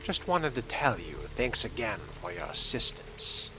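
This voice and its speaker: A man voicing an aloof, curt fledgling vampire in a measured, dry manner for a video game role-play. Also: mid-range voice, sing-song, music in the background, poor audio.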